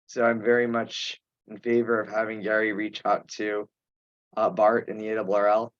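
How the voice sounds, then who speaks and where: A man chairing an informal online meeting, speaking haltingly with pauses mid-sentence. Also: on the low side, completely flat delivery, no room sound. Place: remote teleconference. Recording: dull, muffled conference call audio.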